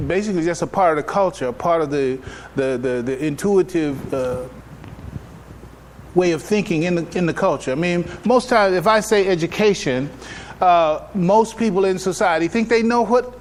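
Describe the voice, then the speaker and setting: A man giving a religious lecture as an imam, conversational and halting, repeating phrases and checking in with his listeners. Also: high voice, slight background noise. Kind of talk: religious lecture, explaining to an audience.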